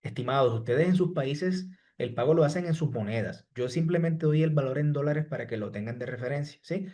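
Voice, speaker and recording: A man's voice: a little high, fairly quick, dark tone. A man teaching a live online class, answering students from the chat by name and ending nearly every point with "¿sí?" and "estimados". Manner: repeats "¿sí?" and "estimados" after each point. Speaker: online course instructor.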